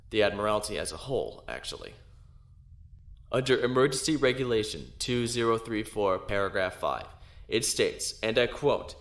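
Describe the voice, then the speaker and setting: Defiant male space-navy lieutenant, low register, formal and assured argument, sci-fi audio drama, echoing room. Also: fairly bright tone. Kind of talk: science fiction audio drama acting. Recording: roomy echo.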